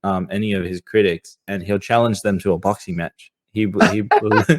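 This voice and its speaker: A man's voice: fairly low pitch, normal speed. A young man chatting casually as a podcast host, often repeating words and using fillers as he goes.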